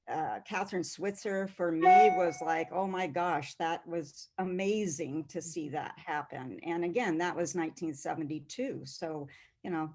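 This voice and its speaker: Modest woman in her sixties, low voice. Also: a little mellow. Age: 60s. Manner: unhurried, modest, many fillers. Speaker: guest athlete. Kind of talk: conversational interview answer.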